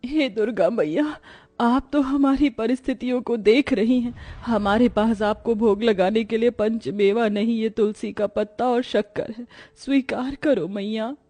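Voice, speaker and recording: A woman's voice: on the high side, unhurried, mellow. A woman playing a devout, long-suffering poor daughter-in-law in a cartoon moral story, earnest and reverent.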